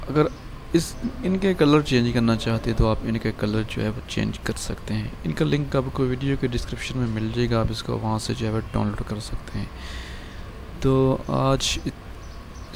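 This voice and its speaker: A man, hosting a screen-recorded software tutorial and calmly walking through a design file with lots of filler words. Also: fairly low pitch, vocal fry, background noise. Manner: calm, unhurried explaining with many fillers. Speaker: channel host showing a design file.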